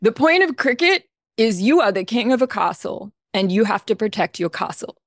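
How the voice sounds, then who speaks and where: A middle-aged woman with an Australian accent talks as a podcast guest, animated and emphatic, often breaking into laughter. Her voice sits at an everyday pitch and comes across dry and close. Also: normal speed, no echo. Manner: lively, laughing, emphatic explainer. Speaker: guest composer. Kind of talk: casual interview chat.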